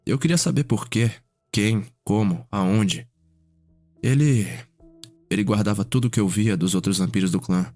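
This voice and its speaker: Male, low voice, ASMR roleplay as Cedric-type vampire noble: reflective, unhurried storytelling over music. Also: a little mellow, no room sound.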